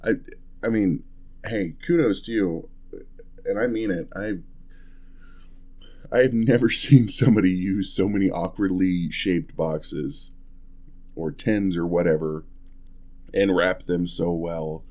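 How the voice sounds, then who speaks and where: A man playing an affectionate, playful young husband in an ASMR roleplay, talking softly and tenderly in a casual, hesitant way. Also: low voice, slow pace, pauses often. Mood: playful, admiring. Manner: soft, hesitant, chuckling, tender. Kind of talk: ASMR boyfriend roleplay, intimate casual chat.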